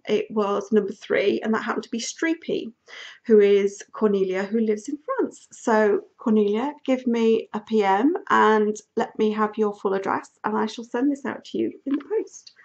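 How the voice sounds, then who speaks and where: Casual woman host, sniffly with a cold, rambling chat, slightly muffled home audio. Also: mid-range voice. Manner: chatty, rambling, with frequent ums and laughs. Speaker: podcast host. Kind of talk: casual knitting video podcast chat.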